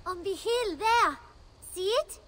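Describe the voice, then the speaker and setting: A woman's voice: very high voice, lively intonation, slow, a bit bright, full character voice. A woman playing a wistful, innocent young girl in a video game, with simple childlike phrasing. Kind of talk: dramatic video game acting.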